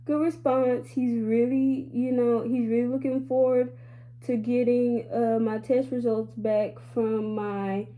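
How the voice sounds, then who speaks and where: A woman's voice: on the high side, level tone, a little slow, phone-quality sound. A woman in her late twenties talking candidly to her viewers in a casual vlog, chatty and sassy, calling them y'all. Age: 20s. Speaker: beauty vlogger.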